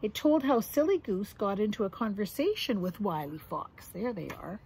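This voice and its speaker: A middle-aged woman with a husky voice reading a children's picture book aloud expressively, adding little asides for young listeners. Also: medium pitch, big pitch swings, moderate pace, darkish tone, slight background noise. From Canada. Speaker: grandmother reading to grandchildren. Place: outdoors on a deck or yard among trees.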